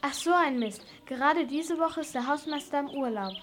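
A female performer playing a brisk, firm schoolteacher in an amateur radio play for children. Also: high-pitched, a bit bright, a little hiss or hum. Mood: brisk, friendly.

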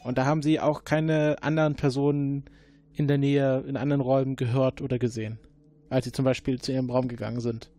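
A man's voice: medium pitch, level tone, fairly quick, dark tone, natural voice. A man playing a time-travel agent who questions a suspect in a probing, dryly sarcastic way, in an audio drama. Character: probing male time-travel agent, adult, sardonic interrogator.

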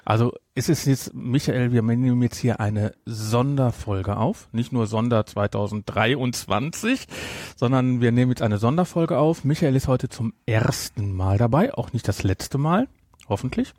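A man hosts a casual podcast in a mid-pitched voice. He speaks haltingly and often corrects himself. The sound is dry, with no room on it. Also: a little mellow, no room sound. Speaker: podcast host.

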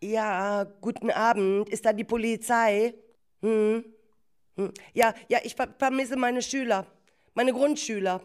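A woman playing a worried, flustered primary school teacher in an amateur radio play, agitated and halting as she repeats her words. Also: a little high, fairly even intonation, a little mellow, clean tone, close to an everyday voice. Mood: anxious, pleading. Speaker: teacher character.

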